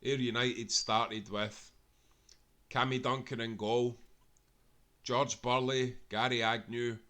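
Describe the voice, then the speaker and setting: Bright-voiced Scottish man reading a story. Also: mid-range voice, little pitch movement, slow. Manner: earnest reading with small self-corrections. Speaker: narrator. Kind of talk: read-aloud football history narration.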